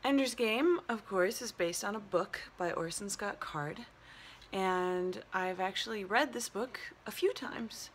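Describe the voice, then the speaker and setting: A woman's voice: medium pitch, measured pace, a little hiss or hum. A woman hosting a casual solo movie review, talking in loose, hesitant phrases as she thinks aloud. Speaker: movie review show host.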